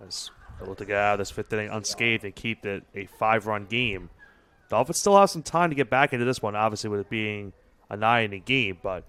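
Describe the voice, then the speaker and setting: A man's voice: fairly low pitch, a bit bright, smooth. A young man giving color commentary on a baseball game, explaining what the situation means.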